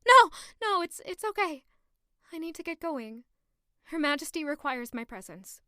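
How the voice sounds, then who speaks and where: A woman's voice: high-pitched, big pitch swings, normal speed, sharp tone, dry recording, studio quality, exaggerated voice. A woman playing a timid, anxious young lady of the royal court in an audio drama, nervous and pleading. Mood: nervous, shaken.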